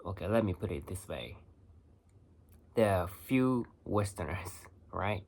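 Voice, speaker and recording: A young Japanese man with a Japanese accent, talking casually to camera in halting English with many restarts and fillers. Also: low-pitched, expressive, darkish tone. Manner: halting, restarts phrases, lots of "you know". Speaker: vlogger. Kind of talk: casual vlog talk.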